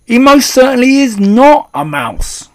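A man's voice: very high voice, big pitch swings, unhurried, voice pushed up, exaggerated voice. A man voicing an angry, blustering middle-aged English father in a storybook, rude and spluttering. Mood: furious, shouting. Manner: rude, shouting, spluttering, stammering when shocked.

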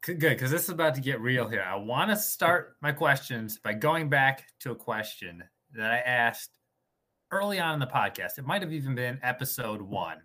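A man in casual sports-podcast banter, his voice bright and edged. His pitch swings widely as he teases and presses the others in disbelief. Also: expressive, normal speed. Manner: incredulous, emphatic, teasing. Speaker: podcast regular running a question segment.

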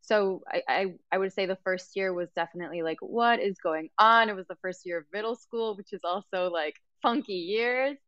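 A woman's voice: medium pitch, normal speed, few pauses, a bit bright, no echo, muffled recording. Woman, 30s, from Mexico, interview guest, earnest, lots of fillers, dry close sound. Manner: earnest, with many fillers and restarts. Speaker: guest, yoga teacher and life coach. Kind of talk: casual interview conversation.

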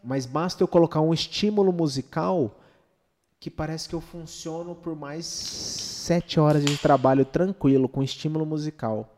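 A man's voice: a little high, normal speed, a little mellow. A man with a Brazilian accent speaking casually and with opinion in podcast talk, slangy and full of rhetorical check-in questions. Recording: reverberant hall-like room.

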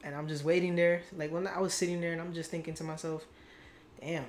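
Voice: mid-range voice, flat delivery, fast, slight background noise. A young adult vlogger telling a story to viewers in a candid, rambling way, full of slang and swearing.

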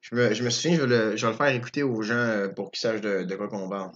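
A man's voice: fairly low pitch, fairly even intonation, quick pace, fairly bright tone, muffled recording. A young man with a Québécois French accent hosting a casual chat in joking, slangy, profane talk. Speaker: podcast host.

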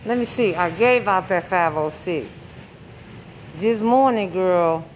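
A woman's voice: fairly low pitch, lively intonation, slow, slight background noise, low-quality recording. A woman with an American accent chatting informally and familiarly as a shopkeeper and podcast host. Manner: informal, folksy, addresses people familiarly. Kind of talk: casual chat with customers and listeners. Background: music.

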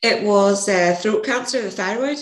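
A woman with a gravelly, hoarse voice, a podcast guest telling a rambling story and correcting herself as she goes. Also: medium pitch, moderate pace. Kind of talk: casual interview storytelling. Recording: echoey room.

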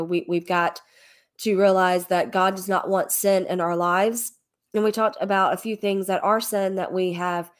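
A woman with a cold and a slightly nasal voice, hosting a church livestream in a chatty way with many ums and restarts. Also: mid-range voice. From Alabama. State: congested from a cold, a little nasal. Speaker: ministry leader hosting a livestream. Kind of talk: casual livestream preaching.

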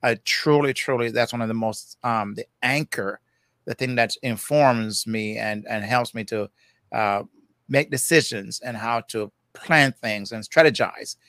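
A man's voice: mid-range voice, a little slow, a bit bright, dry recording. Older Jamaican man, therapist guest, chatty and easygoing, dry close-mic sound. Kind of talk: casual interview talk.

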